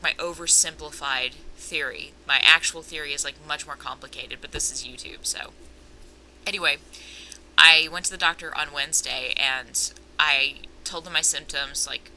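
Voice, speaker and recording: A woman's voice: low voice, pushed and bright, slight background noise, poor audio. A young woman vlogging casually about herself with a sore, swollen throat, rambling and self-aware, drifting into tangents. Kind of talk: casual personal vlog.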